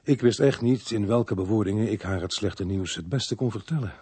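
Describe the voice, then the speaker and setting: A low-voiced, sober Dutch police inspector. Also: male voice, normal speed, a little mellow, husky, no room sound. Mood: reflective, grave. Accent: Netherlands Dutch. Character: sober, dogged male police inspector telling his own story. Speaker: actor, lead role and narrator of a radio play. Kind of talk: Dutch radio drama acting and first-person narration.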